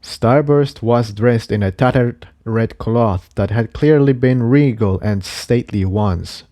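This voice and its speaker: A man's voice: medium pitch, a little slow, dark tone. A man narrating an adventure story from a script. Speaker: narrator reading fan fiction.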